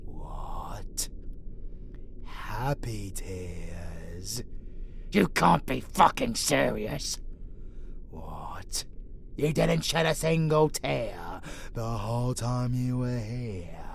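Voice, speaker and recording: A man playing a menacing vampire abomination in a monster audio roleplay, theatrical and taunting, with a gravelly growl. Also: mid-range voice, animated, extremely slow, a little hiss or hum, full character voice. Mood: incredulous. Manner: theatrical, taunting, foul-mouthed, swinging from whispers to shouts. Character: menacing vampire abomination, theatrical, sadistic, grudgingly fond predator. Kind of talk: monster audio roleplay, dramatic villain monologue.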